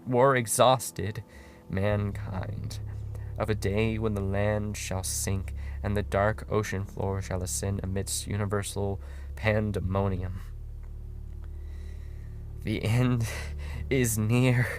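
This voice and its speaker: A man voicing a low-voiced, haunted, broken sailor. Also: steady tone, slight background noise. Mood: haunted dread. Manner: theatrical, halting, with stumbled words. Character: broken, panic-stricken male sailor confessing before death. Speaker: audiobook narrator. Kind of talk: dramatic first-person horror story narration. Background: droning guitar music.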